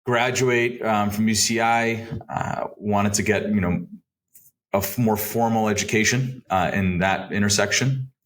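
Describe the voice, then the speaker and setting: A man's voice: fairly low pitch, a bit bright. A man in his thirties from Southern California with an American accent, talking conversationally as an interview guest. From Southern California, United States. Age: 30s. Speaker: podcast guest, advisory firm founder. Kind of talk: conversational career storytelling in an interview.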